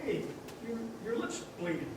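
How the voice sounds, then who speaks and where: A man's voice: high-pitched, sing-song, moderate pace, noisy background. A man playing an eager, innocent old apprentice angel in a live radio drama, earnest and chirpy. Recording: echoey theater stage from a distance.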